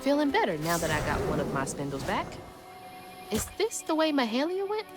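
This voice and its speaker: An actress playing an earnest, determined young weaver in a video game. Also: female voice, a little high, huge pitch swings, a little fast. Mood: reflective, curious. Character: determined, earnest young female weaver searching for her mother. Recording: game music behind the voice.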